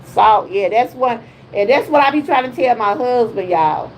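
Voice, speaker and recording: A woman's voice: a little high, lively intonation, normal speed, darkish tone, slight background noise, low-quality recording. A woman in her fifties with a Southern US accent, chatting casually on her wellness livestream with folksy exclamations. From Georgia, USA. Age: 50s. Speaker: wellness vlogger.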